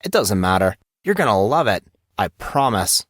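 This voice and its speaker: A man voicing a showy, excitable teenage boy with a theatrical delivery for an audio story. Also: lowish voice, normal speed, faint music behind. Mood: mock offended. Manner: theatrical, presenter-like.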